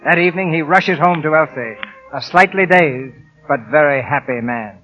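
A man's voice: fairly high pitch, normal speed. A man giving scripted narration for a 1940s radio drama. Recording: dull 1940 radio broadcast recording. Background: music.

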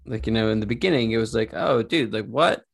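A man's voice: lowish voice, fast, gravelly, husky. A man hosting a podcast in a casual, slangy, informal way, laughing easily as he chats. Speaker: podcast host.

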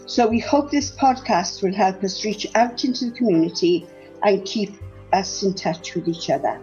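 A Welsh woman hosts a community podcast, steady and organised as she asks her guests questions. The recording sounds slightly muffled, with faint music somewhere behind her. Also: medium pitch. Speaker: podcast host.

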